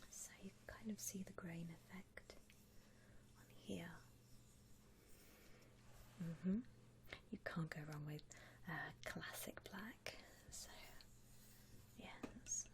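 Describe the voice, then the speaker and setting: Slow, whispering woman, soft ASMR roleplay. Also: fairly low pitch. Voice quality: breathy whisper. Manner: soothing, polite, unhurried, reassuring. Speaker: ASMR roleplay performer as a coffin shop assistant.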